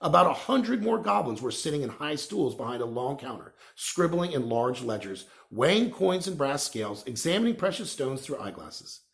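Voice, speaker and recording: Animated man reading a storybook. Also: mid-range voice, normal speed, vocal fry, hardly any pauses. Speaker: reader, storyteller. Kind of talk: expressive read-aloud of a children's novel.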